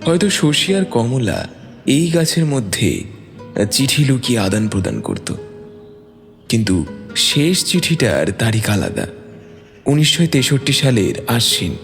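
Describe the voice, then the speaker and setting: Guy narrating a drama story, animated delivery, reverby, bit of music under it. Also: mid-range voice, slow, pauses often.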